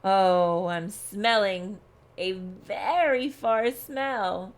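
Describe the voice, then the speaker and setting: A woman's voice: mid-range voice, extremely slow, voice pushed down. A woman voicing a proud, competitive male bear in a storybook, boastful and emphatic. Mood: smug boasting. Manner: boastful, emphatic, growling at times. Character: proud, competitive male bear bragging about his nose.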